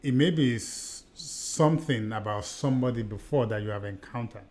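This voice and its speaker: A man's voice: mid-range voice, normal speed, darkish tone. A middle-aged man with a Nigerian accent who grew up in Nigeria. He co-hosts a podcast and tells stories earnestly, often repeating and restarting his phrases. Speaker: podcast co-host. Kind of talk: conversational podcast discussion.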